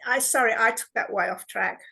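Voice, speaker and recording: A woman's voice: on the high side, brisk, vocal fry, pushed and bright, slightly rough. A woman hosting an interview, speaking in a reflective way with spiritual phrasing. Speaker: podcast host. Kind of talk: casual interview talk.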